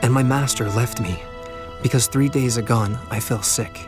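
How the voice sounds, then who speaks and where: A man's voice: medium pitch, normal speed, over music, natural voice. A man playing a weak, wary young Egyptian servant in dramatized scripture. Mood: weary, explaining.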